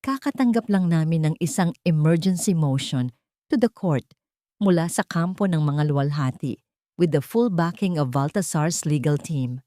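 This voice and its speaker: A woman's voice: low-pitched, expressive, normal speed, warm tone, no room sound. Female narrator as pressed, respectful lawyer, Filipino accent, storybook dialogue, dry sound. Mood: urgent. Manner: urgent, deferential. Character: urgent male attorney reporting to his boss.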